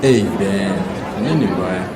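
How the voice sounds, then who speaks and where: A man's voice: sits low, takes it slowly. A man acting as a casual, inquisitive student friend in a radio drama. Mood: casual, questioning. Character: casual, curious male student friend Jerry. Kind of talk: radio drama dialogue, casual slangy chat. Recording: echoey space with loud crowd and noise.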